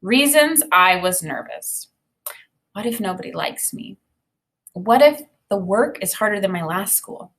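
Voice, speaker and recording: A woman with a medium-pitched voice reads to students as their teacher. She delivers a children's story expressively and talks through her thinking in an informal, explanatory way. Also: lively intonation, a bit bright. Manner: informal, explanatory, pausing to comment. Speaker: teacher reading a children's novel aloud. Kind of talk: expressive read-aloud with think-aloud commentary.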